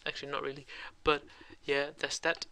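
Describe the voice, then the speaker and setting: A young man with a cold, hosting a casual software tutorial at his computer and rambling with lots of ums and uhs. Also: on the high side, monotone, moderate pace, smooth, no echo. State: has a cold. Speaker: tutorial host.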